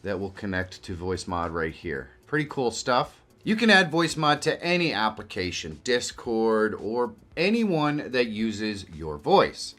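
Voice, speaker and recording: A man in his fifties presenting a software tutorial as a video creator, explaining in a casual, direct way. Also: on the low side, big pitch swings, measured pace, few pauses. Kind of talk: tutorial narration to camera and screen. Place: small indoor home studio. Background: music.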